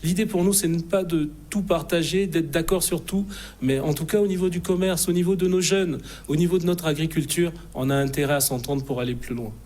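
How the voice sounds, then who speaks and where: A man's voice: on the high side, a little mellow. Assertive middle-aged man in a TV studio. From Republic of the Congo. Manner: assertive, determined, halting with frequent fillers. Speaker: interview guest, think tank president. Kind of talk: TV news studio interview. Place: TV news studio.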